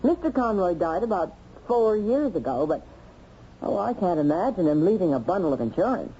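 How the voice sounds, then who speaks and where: A woman's voice: on the low side, very animated, normal speed, dark tone. An American actress playing a chatty, flustered, plainspoken working woman under questioning in a radio mystery drama. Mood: flustered, wistful. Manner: hesitant, exclamatory, gossipy. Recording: dull, noisy old radio recording.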